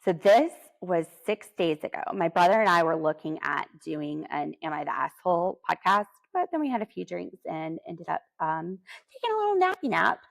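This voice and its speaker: A woman's voice: on the low side, sing-song, normal speed, gravelly, few pauses, no echo. A woman hosting a podcast, giving a sarcastic, sassy recap of a reality TV episode. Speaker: podcast host.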